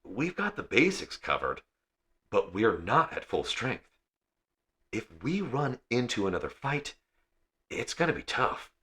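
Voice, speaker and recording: A man's voice: mid-range voice, big pitch swings, a little slow, pauses often, a bit bright, pitched above the performer's own voice. A man voicing a blunt, frustrated female starship engineer in an audiobook story. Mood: worried.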